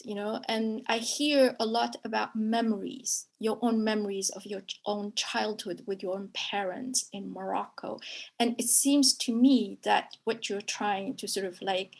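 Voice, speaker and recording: A woman's voice: medium pitch, gravelly, low-quality recording. A middle-aged woman professor moderating a webinar over a video call, rephrasing her questions and checking in with "right?".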